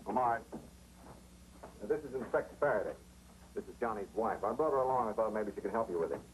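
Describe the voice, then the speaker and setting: Casting: man as calm American amateur detective, fast-talking, lowish voice, vintage TV crime drama. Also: close to an everyday voice. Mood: businesslike. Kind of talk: 1950s television crime drama acting. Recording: noisy vintage 1950s TV soundtrack.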